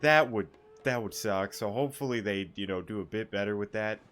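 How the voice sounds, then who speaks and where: A male gaming YouTuber talks casually over a game in a fairly low voice. He rambles and jokes in a halting way. Faint game music sits behind him. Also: a bit bright, clean tone. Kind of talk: casual let's-play commentary.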